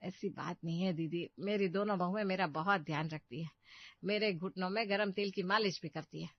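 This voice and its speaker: A woman's voice: fairly low pitch, normal speed, no room sound. Woman as mild elderly mother-in-law, dry sound. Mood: placating. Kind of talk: Hindi cartoon family story dubbing. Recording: dull, muffled studio voice track.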